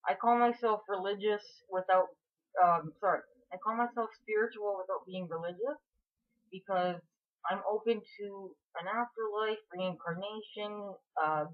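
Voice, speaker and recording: Woman, twenties, from Canada, earnest casual vlog talk, tinny narrow sound. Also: medium pitch. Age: 20s. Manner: frank and earnest, with frequent restarts and fillers. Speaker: vlogger. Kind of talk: casual talking-to-camera vlog.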